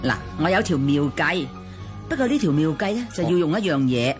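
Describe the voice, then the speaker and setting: A woman's voice: low voice, big pitch swings. An actress playing a scheming old matchmaker in a radio drama, coaxing slyly and drawing out her persuasion. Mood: conspiratorial. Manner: sly, coaxing, drawn-out persuasion. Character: scheming old female matchmaker, cold-blooded and wheedling. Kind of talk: Cantonese radio drama acting. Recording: music behind.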